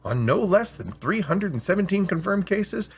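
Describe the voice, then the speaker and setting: Male storyteller as showy machine merchant, boastful, emphatic pitchman delivery, slightly rough timbre, storybook character. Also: big pitch swings, moderate pace, exaggerated voice. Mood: grandly boasting.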